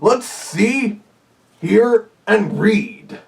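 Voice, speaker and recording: A man doing a gravelly Big Bad Wolf reviewer. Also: sits high, expressive, very slow, slight background noise, heavily acted. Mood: chatty. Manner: chatty, fannish asides. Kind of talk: casual review commentary.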